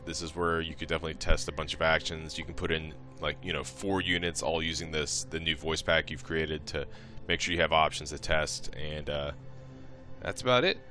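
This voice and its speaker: A man with a very low, deep voice and a bright, forward tone talks through software steps. His delivery is informal and offhand, like someone guiding viewers through a screen recording. Faint music plays along with him. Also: steady tone, fairly quick. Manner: informal, offhand step-by-step explaining. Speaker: modding tutorial presenter.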